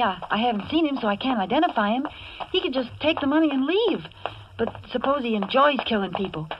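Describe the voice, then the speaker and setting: A woman's voice: mid-range voice, slightly rough, muffled recording. An actress playing a wisecracking, nervous young American working woman who narrates her own danger in slangy 1940s radio suspense style. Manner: slangy, hard-boiled, wry. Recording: noisy, band-limited old radio recording.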